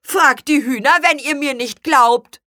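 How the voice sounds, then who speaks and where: A woman voicing an excitable, self-important male storybook creature who blurts and repeats words emphatically. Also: medium pitch, slow, breathy edge, no room sound, clean studio sound, pitched above the performer's own voice, exaggerated voice. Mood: triumphant, huffy. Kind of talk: children's storybook character reading.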